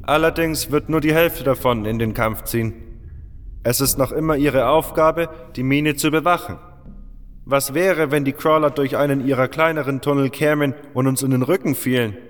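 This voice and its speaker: Man playing gruff, worried guard leader; raised, strained voice, hall echo. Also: medium pitch, fairly even intonation, moderate pace, pitched above the performer's own voice, natural voice. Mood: grim. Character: blunt, worried male leader of mine guards. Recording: long hall reverb, faint music.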